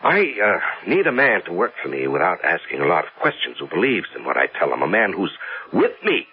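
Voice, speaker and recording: An American actor playing an obsessed, grieving middle-aged businessman in a radio drama, halting and repeating his words. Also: sits low, wildly swooping pitch, breathy edge, exaggerated voice. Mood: guarded, insistent. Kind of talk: 1970s radio drama acting. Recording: dull vintage radio recording.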